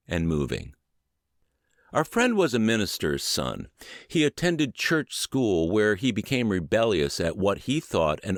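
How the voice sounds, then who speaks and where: A middle-aged man with a lowish voice narrates an audiobook in an earnest, measured way. His intonation moves expressively up and down. The sound is dry and close to the microphone. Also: no echo. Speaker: podcast narrator reading a book aloud.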